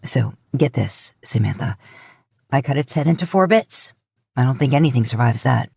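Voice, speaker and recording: A woman voicing a stubborn young male hunter in a lowered, gruff voice. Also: animated, normal speed, mellow, dry recording, studio quality, exaggerated voice. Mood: defensive.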